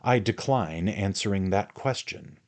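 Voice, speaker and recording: A man doing a dignified, courteous old gentleman. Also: on the low side, natural voice. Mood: firm, dignified. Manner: courteous, firm and measured.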